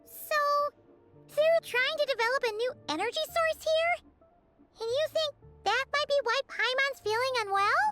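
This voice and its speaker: A woman voicing a small, childlike, chatty and excitable female fairy companion in a video game, squeaky and cute. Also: full character voice. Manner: squeaky, refers to self in third person.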